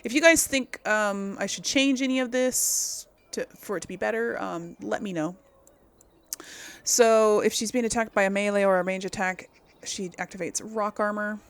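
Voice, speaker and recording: Casual woman gamer with a creaky voice. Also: mid-range voice, fairly even intonation, normal speed. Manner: informal, conversational, thinking aloud. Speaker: video game streamer, host of a role-play series. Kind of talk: casual gameplay commentary.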